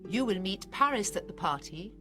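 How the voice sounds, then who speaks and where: A woman playing a noble mother in a storybook, gently urging in a measured, persuasive way. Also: medium pitch, expressive, music in the background, close to an everyday voice. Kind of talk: storybook dramatization.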